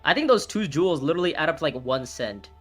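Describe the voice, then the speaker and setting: A young man in his twenties calls out his own game live, and his voice sits a little high and leaps around as he reacts. He reasons through each move aloud and blurts out curses when a turn goes wrong. Soft music plays faintly underneath. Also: quick pace. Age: 20s. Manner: excitable, talks through moves, reacts loudly, swears. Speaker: streamer playing a ranked board game. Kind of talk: live gameplay commentary, thinking aloud.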